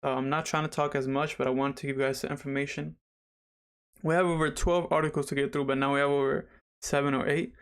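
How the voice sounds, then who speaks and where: A young man hosting a casual livestream, slightly raspy after dental surgery, chatty and rambling as he talks straight to viewers. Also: a little high, little pitch movement, fast talker. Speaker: livestream host.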